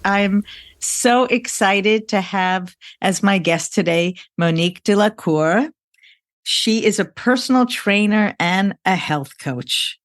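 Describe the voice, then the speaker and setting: A woman's voice: mid-range voice, slow. A woman hosting an interview podcast, friendly and encouraging toward her guest. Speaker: podcast host, financial planner.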